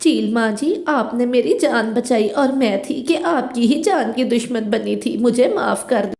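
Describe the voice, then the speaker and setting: महिला द्वारा निभाई चालाक मादा कौवा, गूँजती आवाज़. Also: female voice, fairly high pitch, a little slow, creaky, a little mellow. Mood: remorseful. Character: jealous, scheming female crow, later repentant. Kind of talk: Hindi children's cartoon dubbing. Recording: roomy echo.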